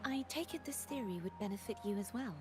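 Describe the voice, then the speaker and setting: A woman's voice: mid-range voice, dark tone, lightly acted. A woman playing a mysterious, composed young maiden in a video game, with poised and formal phrasing. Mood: probing, composed. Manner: poised, formal, measured wording. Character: mysterious, composed young maiden who lost her power. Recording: game music behind the voice.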